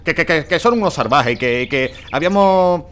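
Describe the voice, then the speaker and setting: An actor playing an anxious, placating truck driver from Spain in an audio drama, hesitant and repetitive, with a Spanish accent. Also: male voice, fairly high pitch, lively intonation, normal speed, fairly bright tone. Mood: nervous, stammering.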